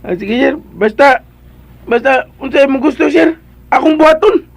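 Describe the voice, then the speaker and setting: An actor playing an excitable, deferential male servant in a radio drama, chattering in a flustered, eager-to-please way. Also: extremely high pitch, normal speed, vocal fry, dry recording. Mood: reluctant, joking. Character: Bitoy, excitable deferential male servant to a wheelchair-using boss.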